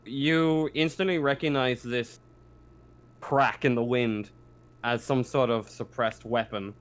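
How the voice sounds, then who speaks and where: A man's voice: mid-range voice, steady tone, takes it slowly. A man working as game master, narrating a tabletop roleplaying game in vivid description mixed with informal rules talk.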